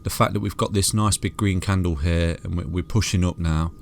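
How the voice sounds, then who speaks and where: A man's voice: low voice, steady tone, brisk, gravelly, a little hiss or hum. A man with a soothing voice walks through crypto charts in a casual, chatty way, calling listeners "guys" and "mate" and restarting phrases often.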